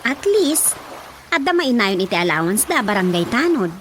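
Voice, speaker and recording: A woman's voice: fairly high pitch, very animated, moderate pace, a bit bright, a little hiss or hum. An actress playing a chatty, observant village woman in a conversational radio comedy, with an Ilocano accent and music behind. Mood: matter-of-fact. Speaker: drama actor.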